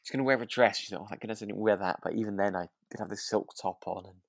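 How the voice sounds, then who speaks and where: Low-voiced British man, casual podcast co-host. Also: rapid-fire, creaky, dry recording, clean studio sound. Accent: British English. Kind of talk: casual chat about a radio drama.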